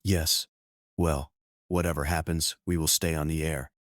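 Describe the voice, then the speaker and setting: A man playing a serious, measured TV news co-anchor reporting live in a disaster drama. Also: very deep voice, fairly even intonation, dry recording, clean studio sound. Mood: sober, tense. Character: sober male TV news co-anchor facing catastrophe.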